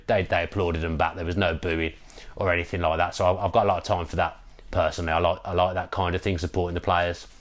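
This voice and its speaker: A man, chatting animatedly about a football match on a video call. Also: deep voice. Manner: animated, repeats words while thinking. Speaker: football podcast co-host. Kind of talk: casual post-match football chat. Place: home room, indoors. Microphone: video call with earphone mic.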